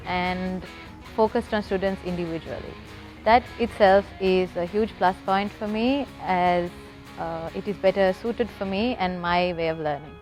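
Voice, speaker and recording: A young woman from Sri Lanka, a student pilot, speaking in a measured, slightly scripted testimonial. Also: medium pitch, darkish tone, low-quality recording. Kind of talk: testimonial interview.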